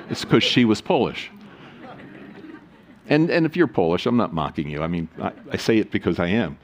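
A man preaching a sermon as pastor, reading scripture solemnly and adding joking, animated asides. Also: medium pitch.

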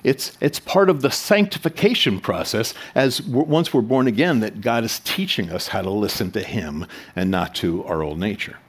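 Man, pastor, sermon, lively pitch swings, conversational preaching, rhetorical questions, church. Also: normal speed, husky.

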